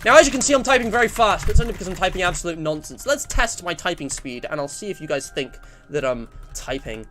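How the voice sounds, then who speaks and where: English male streamer, around twenty, slightly high-pitched, casual and discursive, faint music underneath. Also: expressive, fast talker, few pauses, a bit bright. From England. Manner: rambling, self-interrupting. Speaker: livestreamer. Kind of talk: casual livestream chat, reading out survey and viewer messages.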